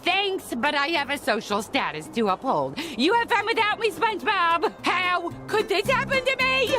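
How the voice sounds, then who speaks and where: A woman's voice: very high-pitched, big pitch swings, normal speed. A woman voicing a spoiled, melodramatic teenage girl in a cartoon game, with whiny valley-girl drama. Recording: music behind.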